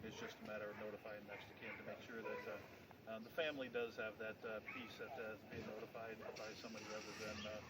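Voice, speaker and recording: An American man speaking as a police spokesman in an informal briefing, with halting, procedural phrasing and frequent hesitations. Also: on the low side, little pitch movement. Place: outdoors near an investigation scene. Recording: distant mic, loud outdoor vehicle noise.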